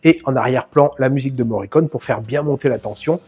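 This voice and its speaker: A man's voice: medium pitch, quick pace, a little mellow, slightly rough. Chatty French film-channel host, a man. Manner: chatty, addresses viewer informally, self-mocking asides. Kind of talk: casual film analysis to camera.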